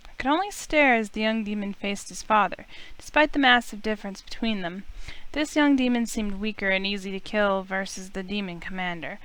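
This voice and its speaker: Female story reader, smooth mid-pitched voice, dramatic first-person narration for a game stream. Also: a little fast, a bit bright, low-quality recording. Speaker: streamer playing a visual novel. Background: faint music.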